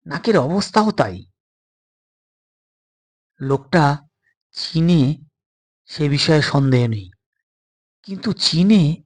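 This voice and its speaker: A man's voice: on the high side, slightly rough. A man reading a scripted suspense story as its narrator.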